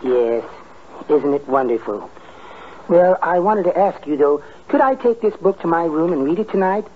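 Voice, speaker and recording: An actor playing a meek, timid, henpecked husband, hesitant and overly polite, in 1950s radio comedy style. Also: male voice, on the high side, animated, moderate pace, close to an everyday voice. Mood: apologetic. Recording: tinny, noisy old radio recording.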